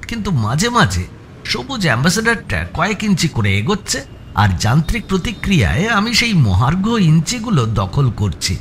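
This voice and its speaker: A man with a West Bengal Bengali accent narrates a first-person suspense audiobook in an expressive, measured storytelling manner with deliberate pauses. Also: a little high, a little hiss or hum. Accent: Bengali from West Bengal, India. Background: music.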